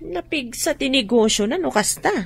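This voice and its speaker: A woman playing a nosy, sharp-tongued older mother with a husky voice and a Filipino accent in a radio drama. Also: high-pitched, lively intonation, moderate pace, exaggerated voice. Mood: prying. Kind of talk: Ilocano radio drama acting.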